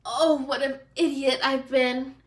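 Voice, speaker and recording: A woman's voice: high-pitched, fairly even intonation, unhurried. A woman voicing a frustrated, self-pitying prince from a storybook, in a plaintive, indignant manner. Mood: self-pitying, despairing. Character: frog prince, whiny frustrated self-pitying young man.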